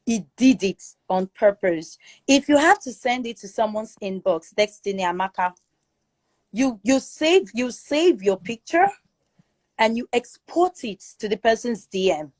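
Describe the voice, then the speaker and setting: A woman with a Nigerian accent giving her opinion forcefully in a live chat room, repeating her points for emphasis. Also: a little high, lively intonation, unhurried, no echo. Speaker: guest speaker in a live audio chat room. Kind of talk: opinionated casual commentary.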